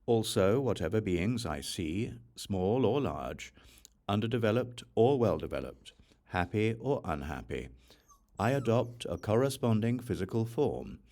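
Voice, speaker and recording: A man reads a sacred text aloud as an audiobook, in measured, solemn phrases with deliberate pauses. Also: fairly low pitch, warm tone. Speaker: audiobook reader of scripture. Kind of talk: scripted reading of a Buddhist sutra.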